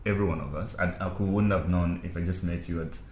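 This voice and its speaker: Deep-voiced host in an echoey room. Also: male voice, steady tone, fast, a little mellow. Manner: brief back-channel replies, laughs easily. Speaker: podcast host. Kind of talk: casual interview. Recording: echoey room with faint noise.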